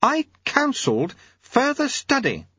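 A man's voice: extremely high pitch, huge pitch swings, measured pace, pushed and bright, breathy edge, dry recording, dull audio, pitched above the performer's own voice, heavily acted. A man voicing a tense, haughty aristocratic lady with precise, formal, controlled speech, in an audiobook. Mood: hissing anger.

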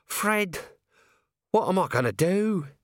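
A man's voice: high-pitched, expressive, slow pace, pitched above the performer's own voice. A man voicing a scruffy small male corporal who moans and whines. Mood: woeful. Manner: moaning, whining.

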